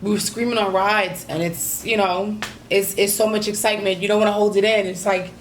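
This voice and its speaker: A woman's voice: medium pitch, steady tone, fairly quick, slight background noise. A woman, chatting casually through a vacation vlog recap with lots of ums and you-knows. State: slightly hoarse. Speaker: vlogger.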